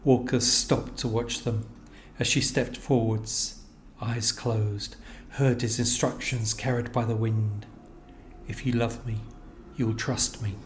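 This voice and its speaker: A man narrating a scripted literary story in a measured, reflective manner. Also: mid-range voice, fairly even intonation, a little hiss or hum. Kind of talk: scripted literary narration of location-based stories.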